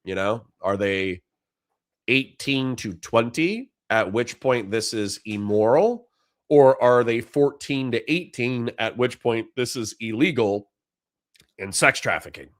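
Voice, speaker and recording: A man's voice: fairly low pitch, no echo. Dry-voiced middle-aged male streamer. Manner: dry, sincere, rambling with many restarts. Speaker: livestream host. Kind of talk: casual livestream chat reading donations.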